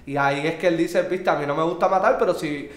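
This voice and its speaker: A man's voice: fairly high pitch, fast. A man with a Puerto Rican accent, chatting animatedly about music on a casual podcast and swearing freely. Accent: Puerto Rican Spanish. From Puerto Rico. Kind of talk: casual chat reviewing music. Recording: echoey room.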